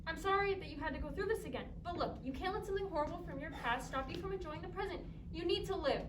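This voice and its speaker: A woman's voice: very high-pitched, fast. A female drama student playing an eager, flustered, bumbling Christmas spirit in training in a staged reading. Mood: apologetic, earnest. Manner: earnest, flustered. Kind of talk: staged reader's theatre performance. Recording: distant echoey noisy live stage recording.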